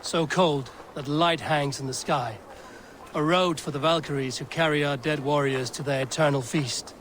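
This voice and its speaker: A cool, determined Viking warrior, acted by a man. The voice sits somewhat high for a man, over clear outdoor background noise in a game cutscene. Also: normal speed, close to an everyday voice. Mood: matter-of-fact. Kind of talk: dramatic video game dialogue.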